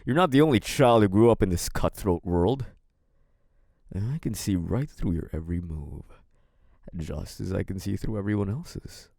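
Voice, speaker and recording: A man's voice: on the low side, expressive, moderate pace, gravelly, a little mellow, no echo, clean studio sound. A man playing a young mafia heir in an audio roleplay, a cunning schemer who wears politeness as a mask. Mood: smug, menacing. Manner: hesitant and apologetic act, turning smug and taunting. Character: young mafia heir, feigned naive politeness hiding cunning, possessive menace. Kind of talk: dramatic first-person audio roleplay.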